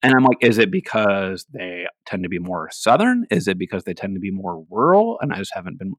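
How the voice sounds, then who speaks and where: Podcast co-host, man from the West Coast, low-pitched, loose and wandering delivery. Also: very animated, fairly quick, no room sound, clean studio sound. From Hawaii and Seattle, USA. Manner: rambling, searching for words, full of "like". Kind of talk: casual podcast conversation.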